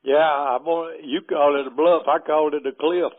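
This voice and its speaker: A man's voice: fairly high pitch, fairly even intonation, a little fast. An older man from Alabama with a Southern accent, telling outdoor stories as a podcast guest in a folksy, chuckling way. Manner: folksy, chuckling between anecdotes. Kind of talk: casual storytelling chat. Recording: narrow phone-like podcast audio.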